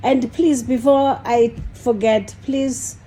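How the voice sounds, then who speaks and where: A woman hosting a podcast, speaking slowly and steadily with frequent short acknowledgments. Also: a little high, fairly even intonation, dark tone, slightly rough. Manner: slow, measured, frequent "okay" backchannels. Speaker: podcast host. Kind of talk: podcast interview.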